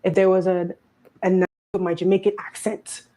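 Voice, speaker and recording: A woman with a lowish, mellow voice delivers pointed social commentary. She talks earnestly and with emphasis, often rushing ahead in quick bursts. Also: level tone.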